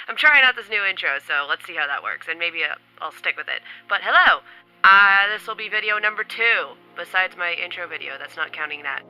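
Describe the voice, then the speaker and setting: A woman's voice: fairly low pitch, expressive, normal speed, sharp tone, soft background music, rough recording. A woman vlogging casually at home, chatty and upbeat, a little stuffy from a cold. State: stuffy from a cold, occasional coughing. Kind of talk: casual chat to camera. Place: home in New York City.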